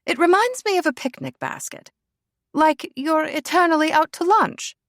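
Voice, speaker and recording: A woman's voice: sits high, expressive, moderate pace, fairly bright tone, no room sound, studio quality, voice pushed up. A woman voicing an eccentric, airy older aristocratic lady with a posh English accent. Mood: breezy.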